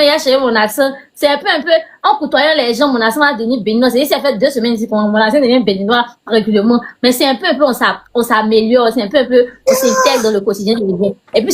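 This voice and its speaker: Fast-talking, high-voiced woman. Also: animated. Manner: directive, explanatory.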